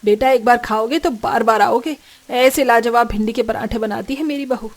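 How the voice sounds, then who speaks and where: A woman playing a bossy, sharp-tongued village mother-in-law in a cartoon story, assertive and scolding. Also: a little high, moderate pace, close to an everyday voice. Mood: hawking cheerfully. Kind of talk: Hindi cartoon story dialogue.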